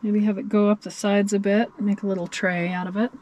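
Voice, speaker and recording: A woman's voice: mid-range voice, level tone, brisk, darkish tone, highs cut off. Chatty woman cooking in her van. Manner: chatty and cheerful, thinking aloud while cooking. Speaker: van camping vlogger. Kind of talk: casual cooking vlog. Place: inside a parked minivan camper. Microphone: camera mounted in the van.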